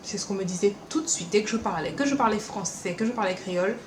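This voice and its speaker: A young woman with a French Caribbean accent mixed with Paris French, chatting to camera at home in a lively, animated way. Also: mid-range voice, roomy sound, noisy background. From France, born in Paris, raised also in Martinique. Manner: chatty, animated, quick asides and acted-out quotes. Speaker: beauty vlogger. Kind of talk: casual chat to camera. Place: room at home.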